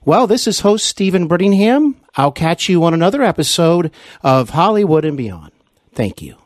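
A man hosting a podcast, speaking courteously and deliberately to guest and listeners. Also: on the high side, sing-song. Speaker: podcast host. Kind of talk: interview hosting and sign-off address to listeners.

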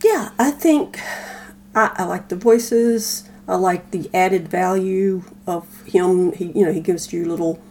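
A woman talks casually as a podcast co-host. Her voice is mellow and fairly low, with a faint hum behind it. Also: unhurried. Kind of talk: casual chat.

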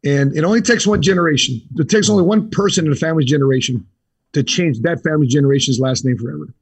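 A man's voice: fairly high pitch, fast, gravelly, breathy edge. A man being interviewed on a podcast, telling his story steadily in short punchy phrases. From United States. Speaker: guest. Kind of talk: casual interview.

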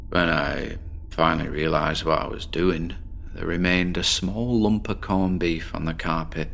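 Troubled insomniac british bloke, low voice, weary rambling confession, horror audio play, kinda morbid and self-deprecating. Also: male voice, vocal fry, pushed and bright, full character voice. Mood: grim recollection. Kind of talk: dramatic monologue in a horror audio drama.

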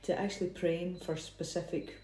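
A woman, a church planter, telling family stories in earnest, relaxed dinner table chat. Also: lowish voice, normal speed. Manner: earnest, reflective storytelling. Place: dining room. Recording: roomy with faint noise.

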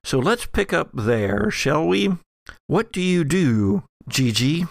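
Gravelly man running a horror game. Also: a little high, very animated, takes it slowly, no room sound, studio quality. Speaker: game master. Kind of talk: narrating an actual-play horror roleplaying game.

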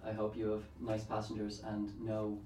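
A man's voice: fairly low pitch, monotone, normal speed, dark tone, breathy edge, faint music behind, low-quality recording. An Irish man, a singer-songwriter guest, chatting casually in a radio studio.

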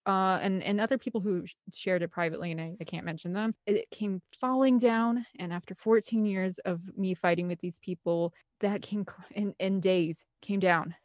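A woman's voice: medium pitch, fairly even intonation, moderate pace, hardly any pauses, no room sound, clean studio sound. A woman giving an interview as a guest, telling personal stories in a candid, rambling, earnest and conversational way. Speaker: interview guest, film composer and director.

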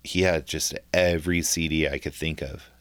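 A man with a very low, smooth and resonant voice, recorded close and dry. He talks in an easygoing, storytelling way, full of casual slang, and he comes from Southern California. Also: steady tone, normal speed, a bit bright, no room sound. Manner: laid-back, storytelling with slang. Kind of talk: casual chat.

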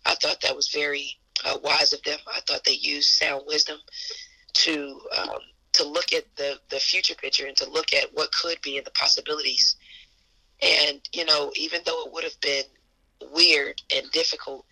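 A hoarse woman with a gravelly edge, talking as a basketball coach in an interview. Her voice is forceful and bright but stays flat in melody. She speaks earnestly and repeats phrases for emphasis. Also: sits low, normal speed, low-quality recording. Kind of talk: casual interview answers.

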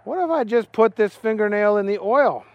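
A man putting on a high, bewildered clumsy fry cook. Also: animated, normal speed, no room sound, lo-fi sound. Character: clumsy dim-witted young male fry cook.

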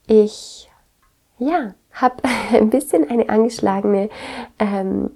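A woman hosting a reflective wellness podcast, congested with a cold, speaking gently and earnestly straight to the listener. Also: mid-range voice, slow, creaky. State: congested with a cold, stuffy nose. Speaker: podcast host.

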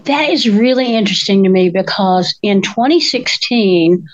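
A woman, co-hosting a casual chat in a slow, thoughtful way. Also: mid-range voice, animated, no echo, lo-fi sound. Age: 60s. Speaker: co-host.

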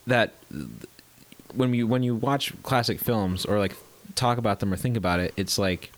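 Man with a cold, stuffy, scratchy throat, deep voice, meandering talk. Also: normal speed. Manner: rambling, many fillers and restarts. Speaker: podcast co-host. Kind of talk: casual movie chat.

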